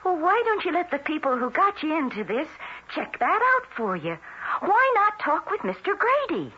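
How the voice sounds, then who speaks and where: An actress playing a sweet, sensible middle-aged American wife with a gentle, homely manner, in a vintage radio drama. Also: female voice, sits high, sing-song, normal speed, full character voice. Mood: reassuring. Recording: muffled old radio recording, noisy vehicle ambience.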